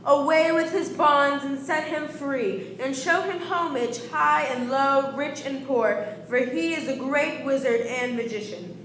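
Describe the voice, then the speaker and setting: A woman's voice: high voice, measured pace, few pauses, a bit bright. A young woman playing an anxious yet imperious king in an amateur radio play, with formal, declamatory delivery. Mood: grave, regal. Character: anxious, imperious King Arthur of England, pleading yet regal. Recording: echoey room with background noise.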